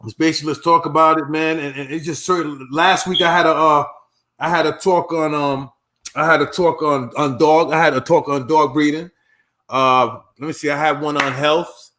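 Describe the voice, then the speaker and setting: A laid-back man hosts a loose online panel talk, tossing "man" onto nearly every phrase. His voice sits a little high for a man. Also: fairly even intonation, normal speed, bright, forceful tone. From United States. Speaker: show host. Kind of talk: casual panel chat.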